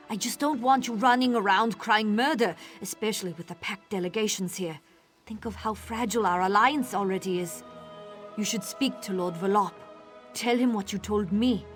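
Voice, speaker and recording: A woman acting as a stubborn, wary female town guard in a fantasy game. She speaks firmly and with measured admonishment, at a middle pitch, over background music. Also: lightly acted. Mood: alarmed, hushed. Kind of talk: video game dialogue acting.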